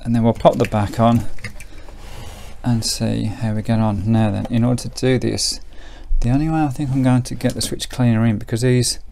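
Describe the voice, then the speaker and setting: A middle-aged British man giving a casual, unhurried hands-on commentary as he demonstrates something, thinking aloud as he goes. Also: mid-range voice, fairly even intonation, gravelly, a little mellow. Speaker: presenter demonstrating a vintage radio. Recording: faint background noise, clicks of handling.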